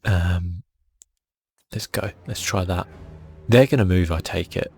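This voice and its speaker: Very deep, creaky soft-spoken man. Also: takes it slowly, a little hiss or hum. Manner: relaxed, thinking aloud while playing. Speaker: gaming video host. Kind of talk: soft-spoken ASMR game commentary.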